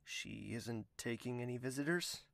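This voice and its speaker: A man's voice: mid-range voice, normal speed, creaky, fairly bright tone, dry recording. A man playing an irritable, suspicious prince in an audio drama, speaking in a terse, brooding way.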